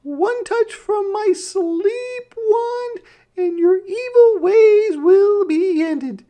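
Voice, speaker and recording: A little sister bear in a children's storybook. The voice is squeaky and far above a normal speaking pitch, emphatic and bossy, with a stubborn, scaredy streak. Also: drawn-out words, mellow, full character voice. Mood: defiant, theatrical. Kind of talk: children's storybook read-aloud.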